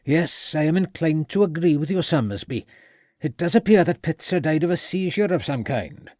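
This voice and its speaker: A man's voice: a little high, animated, moderate pace, darkish tone, no echo, clean studio sound, pitched above the performer's own voice, close to an everyday voice. A man voicing a self-important elderly country doctor with a pronounced Welsh accent. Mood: pompous. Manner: pompous, assured.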